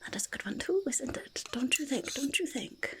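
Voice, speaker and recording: A woman voicing a quirky, eager little goblin in a soft-spoken ASMR roleplay, creaky and whispering, with halting, repetitive speech. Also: high-pitched, expressive. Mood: intrigued. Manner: halting, repetitive, third-person speech with drawn-out words. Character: quirky, eager, faintly menacing little goblin, friendly to humans. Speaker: ASMR performer in character.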